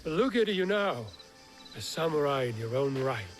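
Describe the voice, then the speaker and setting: A man's voice: a little high, very animated, moderate pace, faint music behind. An actor playing a courteous, devout European man in a video game, speaking in a formal, reflective way. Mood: warmly surprised. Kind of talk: video game cutscene acting.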